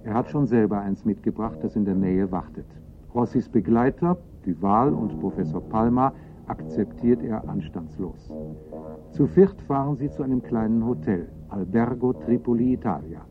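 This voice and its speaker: Actor as sober narrator, thin phone-like sound. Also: male voice, lowish voice, lively intonation, moderate pace, soft background music, natural voice. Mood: factual, suspenseful. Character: sober present-tense narrator of a crime story. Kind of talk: scripted radio drama narration.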